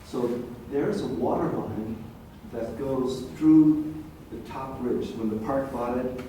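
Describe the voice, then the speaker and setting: An older man who is a longtime local resident, speaking softly and haltingly at a community meeting. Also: mid-range voice. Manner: soft-spoken, rambling with pauses. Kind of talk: public comment at a community meeting. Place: large echoey meeting room. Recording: echoey room, distant mic, faint hiss.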